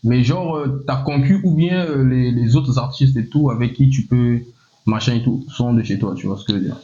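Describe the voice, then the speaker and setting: A male interviewer asks casual, rambling questions, padding them with "et tout" and "tu vois". His voice is mellow and warm. The recording sounds slightly muffled and lo-fi. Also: mid-range voice, normal speed. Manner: loose, filler-heavy questions with "et tout". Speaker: interviewer, show host. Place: Casablanca.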